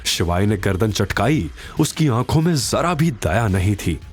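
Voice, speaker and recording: Guy narrating a dramatic audio story, super animated, soft music behind. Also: fairly low pitch, a little slow. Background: faint background music.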